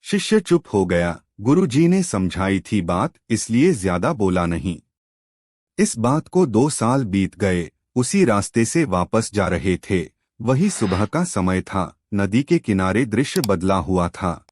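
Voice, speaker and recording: A man telling a moral story in an unhurried, expressive way. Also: fairly low pitch, no echo, clean studio sound.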